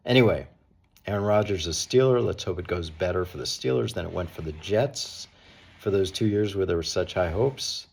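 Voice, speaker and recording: A middle-aged or older man with a cold, hoarse and slightly raspy, giving conversational, opinionated sports commentary as the host of a daily show. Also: deep voice, expressive, fairly quick, a little mellow.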